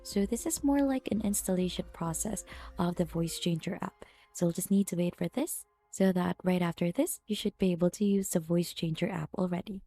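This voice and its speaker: Female tutorial narrator, lowish and soft-edged, instructional step-by-step delivery, music underneath. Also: brisk. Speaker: software tutorial presenter. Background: background music.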